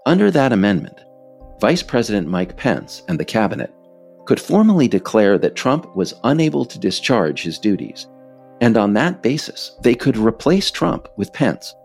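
An American man reading a scripted narration as its author, in a measured way with emphasis on key phrases. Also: lowish voice, big pitch swings, vocal fry, darkish tone, faint music behind. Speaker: author narrating own work.

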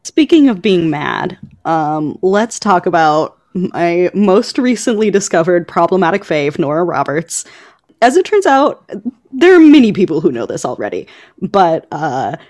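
A woman with a slightly low voice talks as a podcast co-host. Her delivery is casual and conversational as she chats about books. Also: very animated, measured pace, a little mellow. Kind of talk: casual book chat.

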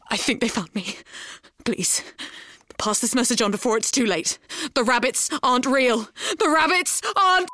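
A woman's voice: on the high side, a bit bright. A woman playing a paranoid conspiracy theorist who delivers dramatic, preachy warnings in a mock monologue. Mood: panicked. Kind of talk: mock conspiracy monologue in a comic sponsor spot.

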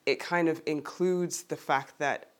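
A man with a slightly higher voice, talking through a thought in a group discussion. He keeps circling back and correcting himself as he searches for his words. He is heard close and clear. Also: steady tone, unhurried. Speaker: discussion participant.

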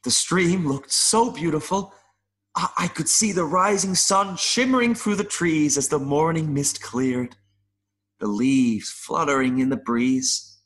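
A man playing a gentle, wistful mayfly in an audio play, speaking in an earnest, philosophical way with small hesitations. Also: on the high side, unhurried. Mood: wistful longing. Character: gentle, wistful mayfly longing to see the world.

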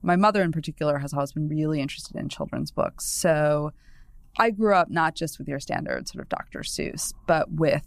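Low-voiced, gravelly woman podcast guest. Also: big pitch swings, brisk, no echo. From New England, US. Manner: chatty, discursive, many "you know" asides, laughs easily. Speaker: guest, newspaper columnist. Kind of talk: casual podcast conversation.

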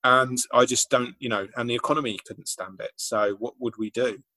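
A man's voice: medium pitch, fairly even intonation, normal speed, bright, forceful tone, rough recording. A man co-hosting a casual political chat podcast, speaking in a blunt, emphatic way and dropping dry asides. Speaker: podcast co-host and comedian.

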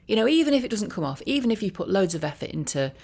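A woman talking casually in a rambling, self-deprecating monologue, with wry, gently ironic asides. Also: medium pitch, big pitch swings, rapid-fire. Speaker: voiceover artist and career coach sharing a personal update.

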